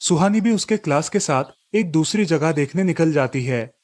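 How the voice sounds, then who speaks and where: A man's voice: fairly high pitch, expressive, normal speed, a little mellow. A man reading scripted storybook narration for a cartoon tale.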